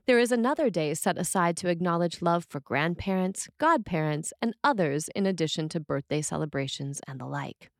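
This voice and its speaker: A woman reading a scripted sermon as a preacher, with measured, deliberate phrasing. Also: on the low side, lively intonation, no echo, studio quality.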